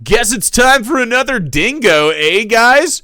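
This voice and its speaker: An excited streamer talks to his chat in a raised, loud and upbeat voice, as a man plays him. The tone is bright and forward and the sound is dry and close. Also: measured pace, dry recording, exaggerated voice. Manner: high, loud, upbeat stream-host delivery.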